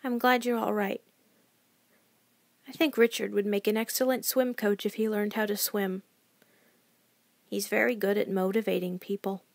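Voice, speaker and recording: A woman's voice: mid-range voice, fairly even intonation, normal speed, gravelly, pauses often, no echo. A woman reading a first-person story as a sensitive young child, anxious and loyal, in an emotive, naive, confiding way. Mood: relieved, fond.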